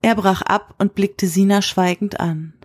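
A woman with a husky voice reads scripted literary narration for an audio drama. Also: mid-range voice, steady tone, a little slow, no echo, studio quality.

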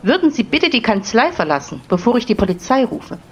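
A formal female receptionist played by a woman in an audio drama, slightly muffled over background noise. Her businesslike, wary delivery moves up and down a lot in pitch. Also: big pitch swings, brisk. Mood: alarmed. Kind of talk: German audio drama acting. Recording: dull sound, background noise.

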